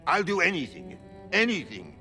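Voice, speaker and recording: A man's voice: on the high side, wildly swooping pitch, moderate pace, sharp tone, in a large hall, faint music behind, heavily acted. A man voicing a flustered nobleman caught out and begging, anxious and pleading in a video game cutscene. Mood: desperate.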